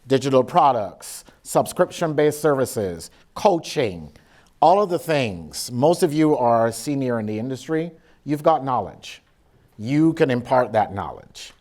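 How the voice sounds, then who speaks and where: Barbadian man, middle-aged, blunt and sassy keynote speaker, mid-pitched voice. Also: darkish tone. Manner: blunt, sassy, joking asides. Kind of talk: lively conference keynote with audience interaction.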